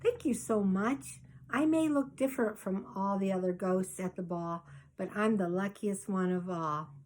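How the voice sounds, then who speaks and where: A woman voicing a sweet young girl ghost who is eager and easily tearful, speaking high and tremulous. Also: normal speed, darkish tone, lightly acted, poor audio. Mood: delighted.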